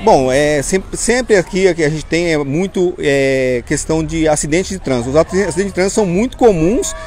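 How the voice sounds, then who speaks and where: A Brazilian man in his forties gives spontaneous interview answers as a fire officer. His voice is a little high and he speaks haltingly, doubling back on words. Faint outdoor noise sits behind him. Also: lively intonation, darkish tone. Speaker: firefighter lieutenant, interviewee. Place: outdoors in front of a fire station. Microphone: small mic held at chest level by interviewer. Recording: outdoor street ambience noise.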